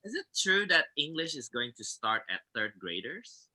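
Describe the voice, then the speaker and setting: A man's voice: on the high side, very animated, sharp tone. A man asking short questions in a casual online session, a former exchange teacher. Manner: short questions and quick acknowledgements.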